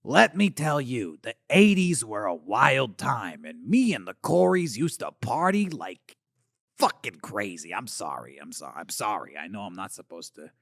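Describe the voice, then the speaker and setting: A man voicing a washed-up male alien puppet star from the eighties, rambling in a crude, boozy, confessional way with a gravelly voice. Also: a little high, a bit bright, no room sound, clean studio sound, heavily acted. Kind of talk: comedic character monologue.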